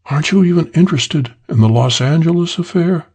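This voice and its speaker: A man plays a beautiful woman crook in a crime audiobook, the pitch lifted well up. She speaks in an earnest, pleading way, as if confessing. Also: a little fast, a little mellow, slightly rough.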